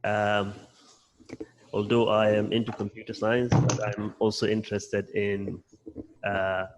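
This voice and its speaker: A man from Nigeria whose first language is Hausa, giving a measured self-introduction at a conference with frequent pauses. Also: on the low side, level tone, heavy background noise. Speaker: conference presenter. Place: conference hall.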